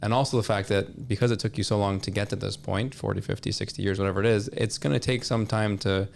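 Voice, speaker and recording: A man explaining his points in a measured, conversational way as a podcast guest. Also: on the low side, steady tone, creaky, darkish tone, a little hiss or hum. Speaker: podcast guest, supplement industry representative.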